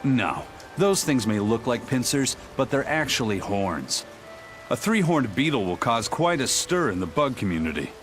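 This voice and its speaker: A man's voice: medium pitch, sing-song, moderate pace, fairly bright tone, soft background music. A man voicing a stern, proud pirate in a video game, matter-of-fact but dramatic. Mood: indignant. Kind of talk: English video game voice acting.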